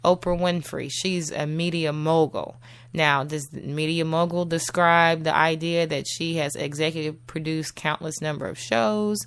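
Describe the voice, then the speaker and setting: A woman with a low, gravelly voice gone husky from a cold, talking through a solo show in a chatty, easygoing way. She laughs often and leans on little fillers. The sound is close and dry. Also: steady tone, normal speed, no echo. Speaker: podcast host. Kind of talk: solo casual talk show.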